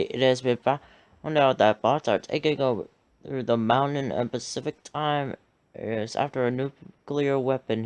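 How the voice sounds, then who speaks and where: News anchor, male: mid pitch, very slow, clean resonant read. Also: a little mellow, smooth. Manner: measured newscaster delivery. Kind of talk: scripted news reading.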